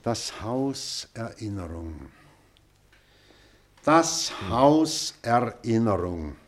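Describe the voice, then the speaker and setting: An elderly schoolmaster holds forth in a radio drama. He is pedantic and commanding with a wistful streak, taking his time, declaiming with a very lively rise and fall in a mid-pitched voice. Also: slow pace. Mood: pedantic, deliberate. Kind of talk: German radio play acting, lecturing.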